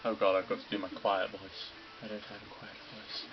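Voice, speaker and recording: A man's voice: on the low side, flat delivery, normal speed, lo-fi sound, over music. A man hosting a game playthrough, making blunt, profane, joking asides as he plays. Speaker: let's play host. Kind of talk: casual gaming commentary.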